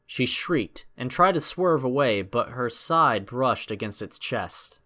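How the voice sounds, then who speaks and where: A man's voice: mid-range voice, expressive, slow pace, bright, forceful tone. Guy narrating a suspense adventure story, mid-range voice, dramatic audiobook style.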